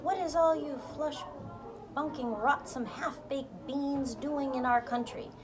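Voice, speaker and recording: A woman voicing a huge male man-eating giant in a storybook, loud and bullying with broken grammar. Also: sits high, takes it slowly, voice pushed up. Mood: outraged yelling. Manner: loud, roaring, ungrammatical.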